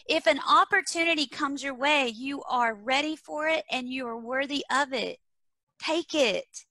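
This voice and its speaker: Woman with a Texas drawl, bright and forceful, inspirational interview guest. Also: high-pitched, no echo. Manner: earnest, motivational, repeats key phrases. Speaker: guest speaker on leadership. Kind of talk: conversational interview.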